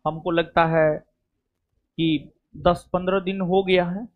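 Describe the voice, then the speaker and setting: A man's voice: high-pitched, flat delivery, slow pace, narrow, tinny audio. A man speaks to a coaching class. His talk is loose and chatty. He keeps circling back with little check-ins to the students. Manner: chatty, rambling, repeats "theek hai". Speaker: coaching teacher.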